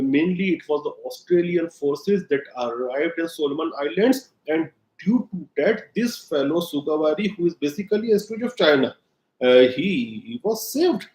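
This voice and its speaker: A man's voice: a little high, a little mellow, reverberant, low-quality recording. A man with an Indian accent, a native Hindi speaker, giving blunt, emphatic political commentary with frequent "uh" fillers. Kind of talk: solo commentary monologue.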